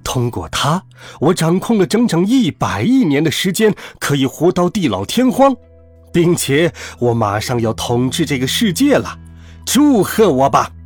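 A man's voice: on the high side, sing-song, soft background music. A man doing Monkey, a sneering gloating villain. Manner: sneering, slow and mocking, bursts of laughter. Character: gloating scheming male villain called Monkey.

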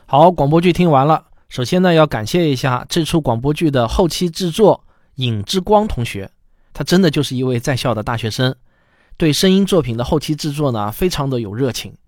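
A man hosting a podcast, addressing listeners in a clear, emphatic presenter's delivery. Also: fairly high pitch, animated, a little fast, a little mellow, no echo, clean studio sound. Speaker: podcast host. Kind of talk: scripted announcements to listeners.

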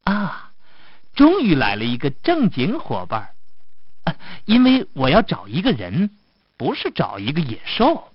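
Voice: medium pitch, wildly swooping pitch, measured pace, breathy edge, dry recording, highs cut off, heavily acted. A storyteller voicing a sly, bossy fiddler from a fairy tale, commanding and coaxing. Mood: musing. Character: sly, bossy fiddler who tricks animals.

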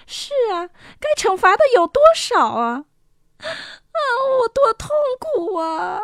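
A proud young girl from a storybook tale, speaks in a piercingly high, dry-recorded voice. She is bitter and plaintive, dragging her words out slowly as she pities herself. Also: wildly swooping pitch, a bit bright, no echo, heavily acted. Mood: tearful anguish. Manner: plaintive, drawn-out, self-pitying. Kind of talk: dramatized fairy tale acting.

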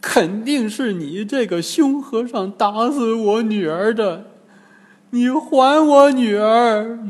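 A man voicing a demon disguised as an old woman in a storybook tale, theatrical, plaintive and drawn-out, in long reverb. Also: high voice, expressive, pitched above the performer's own voice, heavily acted. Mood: furious.

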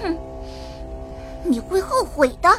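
A woman's voice: very high-pitched, sing-song, slow, a bit bright, soft background music, exaggerated voice. An actress playing a defiant, plucky young girl fighter in a fantasy radio drama.

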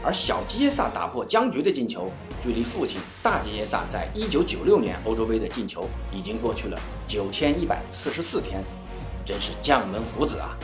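A man's voice: lowish voice, big pitch swings, brisk, echoey room. A man hosting a football show, delivering a scripted match recap in a commentary style. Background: music.

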